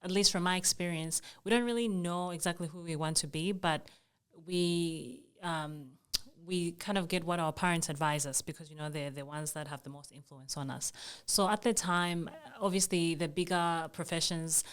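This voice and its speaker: A young adult woman from Zambia, a guest in an interview, speaking thoughtfully with frequent ums and soft laughs. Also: lowish voice, fairly even intonation, normal speed, clean tone. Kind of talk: casual conversational interview.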